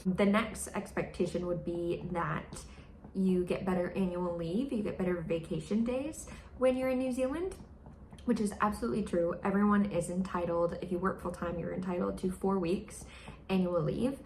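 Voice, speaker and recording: A young woman from the United States chats in a loose, filler-heavy way and puts on high voices when she quotes people. Her voice sits low with a gravelly fry. She sounds like she is in an echoey room with faint noise behind her. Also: moderate pace, darkish tone. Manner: chatty, with many fillers, mimics others in high voices. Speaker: vlogger. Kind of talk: casual vlog chat.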